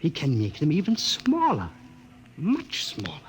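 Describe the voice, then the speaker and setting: An earnest elderly physicist. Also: male voice, fairly high pitch, huge pitch swings, a little slow, slight background noise. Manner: formal, careful explanations. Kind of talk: 1950s radio drama acting.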